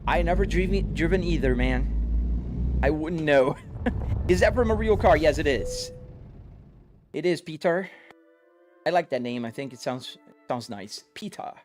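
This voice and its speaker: A chatty man with a Brazilian accent and a slightly high voice, talking to his stream viewers while he drives. Music plays clearly underneath him. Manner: chatty, reads chat aloud and answers it. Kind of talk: live-stream chat while gaming. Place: home sim rig room.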